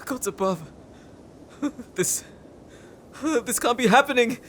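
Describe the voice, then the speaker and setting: A man's voice: very high voice, slow pace, a bit bright, slight background noise, exaggerated voice. An actor playing a polite, bewildered male companion, hesitant and stammering, in an audio drama. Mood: shocked, sorrowful. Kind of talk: anime-style audio roleplay acting.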